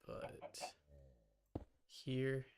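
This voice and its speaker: A man's voice: very slow, darkish tone. A man giving casual live gaming commentary, muttering to himself and chatting to viewers, often repeating his words. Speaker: game streamer.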